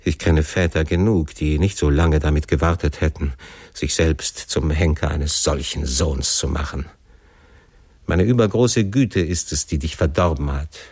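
A man narrator plays a strict, unbending father in a literary story. The voice sits low and is dry and hard. He speaks in curt, irritable commands, with a close, dry sound. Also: measured pace, darkish tone, slightly rough, dry recording, exaggerated voice. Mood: stern, cold.

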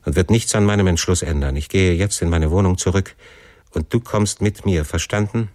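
A man's voice: deep voice, moderate pace, darkish tone, no echo, close to an everyday voice. A man voicing a stern, irritable father with a dry, hard, commanding manner in an audiobook story. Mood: irritated, curt.

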